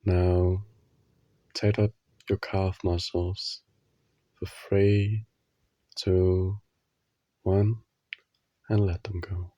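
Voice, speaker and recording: A man leading a guided sleep meditation in a soothing, instructional manner, leaving long pauses between short phrases. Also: low-pitched, little pitch movement, mellow, no echo, muffled recording.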